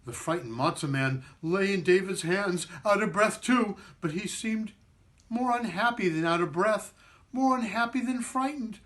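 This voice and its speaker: A man reading a children's story aloud in an expressive, theatrical way, sometimes repeating a word as he goes. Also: high-pitched, normal speed. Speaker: performing arts director reading a story.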